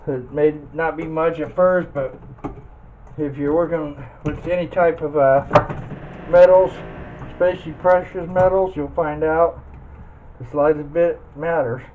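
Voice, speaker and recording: A man's voice: a little high, steady tone, a little slow. A plainspoken, folksy man talking casually through a hands-on scrapping tutorial, muttering to himself as he works. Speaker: scrap metal hobbyist demonstrating teardown. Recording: muffled phone-like audio, loud background noise.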